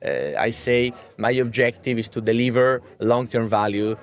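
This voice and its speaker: A man of thirty answering interview questions in a halting way, often repeating words. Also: mid-range voice, steady tone. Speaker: watch brand executive being interviewed.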